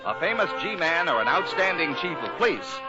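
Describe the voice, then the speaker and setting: High, animated old-time radio announcer man. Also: normal speed. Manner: grand, theatrical delivery. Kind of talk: scripted 1940s radio announcing. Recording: muffled 1940s radio recording. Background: loud music.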